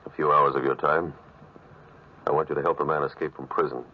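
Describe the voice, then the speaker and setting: An actor playing a towering, solemn priest in a vintage radio drama, with a very deep voice and a grave, firm manner. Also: male voice, level tone, a little fast, husky. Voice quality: very deep, bassoon-like. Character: towering, solemn, stern priest with a plain-spoken will. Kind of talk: 1940s radio drama acting. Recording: muffled old radio recording.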